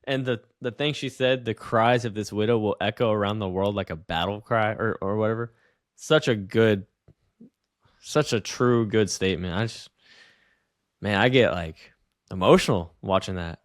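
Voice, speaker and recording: A young man hosting a casual discussion, speaking earnestly and halting on repeated words. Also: on the low side, no echo, clean studio sound. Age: young adult.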